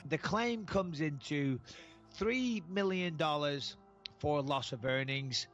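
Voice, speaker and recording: A man's voice: a little high, slow, fairly bright tone, muffled recording, faint music behind. Wry, incredulous man commenting. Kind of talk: podcast commentary.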